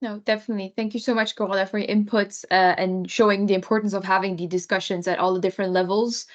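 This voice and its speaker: A woman's voice: medium pitch, fairly even intonation, quick pace, dull audio. A woman moderating a webinar, politely thanking speakers and passing on questions.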